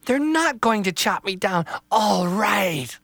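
Storybook sapling girl, high and fretful, wide swoops, man reading. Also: lively intonation, brisk, a bit bright, dry recording, full character voice. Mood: braced. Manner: anxious, hopeful, wondering aloud. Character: timid young female sapling tree.

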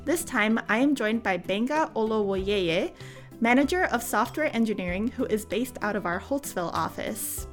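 A woman's voice: a little high, expressive, moderate pace, soft background music. A young woman hosting a podcast interview, with an upbeat, friendly presenter manner. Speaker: podcast host.

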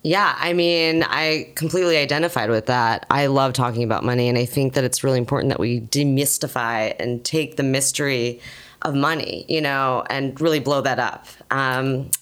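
A young adult woman guesting on a podcast, recorded at the host's home, who talks with a low voice in a thoughtful, candid way. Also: moderate pace, fairly bright tone. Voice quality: low, husky-sounding voice. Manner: thoughtful and candid, with easy laughs. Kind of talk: casual chat.

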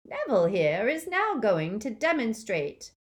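A woman reading aloud and voicing a cold, gloating male dark wizard with a soft, silky, hissing menace. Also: on the high side, wildly swooping pitch, a little slow, voice pushed up. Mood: cold, menacing.

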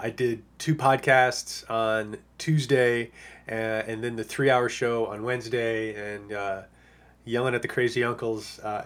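A man with a hoarse voice he is losing, talking casually as a fantasy football analyst, rambling with lots of fillers. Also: fairly low pitch. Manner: rambling, lots of uh and you know. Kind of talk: casual podcast monologue.

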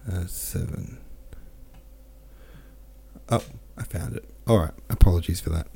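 A man's voice: deep voice, measured pace, gravelly, dark tone, breathy edge. A man hosting a book podcast, thinking aloud about a chapter, reading out listener comments and then reading the novel aloud. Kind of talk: casual book-club talk and reading aloud.

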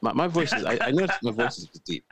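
A man with a deep voice co-hosting a podcast in playful, wry casual chat. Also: lively intonation, fast, a bit bright, slight background noise. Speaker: podcast co-host.

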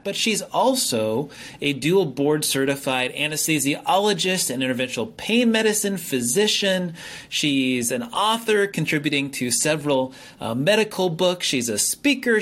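Male podcast host with a slightly high voice, casual and friendly, trips over words now and then. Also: a bit bright. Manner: friendly, chatty, stumbles and restarts. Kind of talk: casual interview chat.

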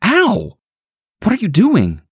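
A panicked young mother cries out in a high, strained voice that leaps all over the place, as a man puts her on in a storybook reading. The sound is close and dry, with no room around it. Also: very animated, a little slow, no echo, full character voice. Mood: startled pained. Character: frantic terrified young mother, woman.

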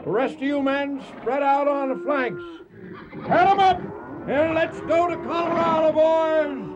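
High-voiced old western cattle drover. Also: male voice, measured pace. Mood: rousing, commanding. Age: older. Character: kindly older veteran cattle drover, weary but resolute. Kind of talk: western radio drama acting. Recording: muffled radio broadcast, music behind.

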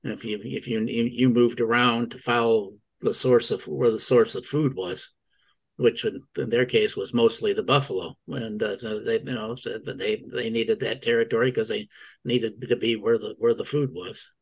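A man's voice: mid-range voice, fairly even intonation, moderate pace, slightly rough. Male book club participant, mid-range voice, rambling conversational delivery with repeated words. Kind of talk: casual group discussion.

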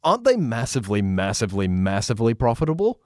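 A man's voice: lowish voice, wildly swooping pitch, fast, dry recording, clean studio sound. A man talking informally as a guest in a casual, conversational podcast review. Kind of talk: casual episode review chat.

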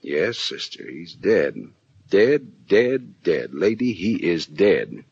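A tough private detective in a vintage radio drama. He has a deep voice and a terse, wry, sardonic delivery that sounds world-weary. The old recording is muffled and lo-fi. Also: big pitch swings, slow pace, gravelly, darkish tone, husky, exaggerated voice. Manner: terse, hard-boiled, sardonic. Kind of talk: 1940s radio drama, first-person narration and dialogue.

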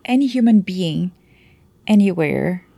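Gravelly-voiced woman, native portuguese speaker. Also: lowish voice, expressive, unhurried, dark tone, dry recording. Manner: explanatory, frequent "right?" tags. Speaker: teacher, guest. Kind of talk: conversational interview.

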